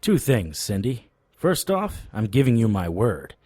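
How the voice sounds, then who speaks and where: An actor playing a wry, tough supernatural private investigator in a noir audio drama, with a deadpan, sardonic delivery. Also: male voice, lowish voice, a little slow, slightly rough, full character voice. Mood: persuasive, joking.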